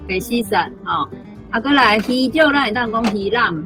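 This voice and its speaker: A woman's voice: fairly high pitch, animated, normal speed, bright, forceful tone, faint music behind. A woman with a Taiwanese accent, a language teacher on a remote connection, explaining word choices and tagging phrases with checking particles. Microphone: remote network connection.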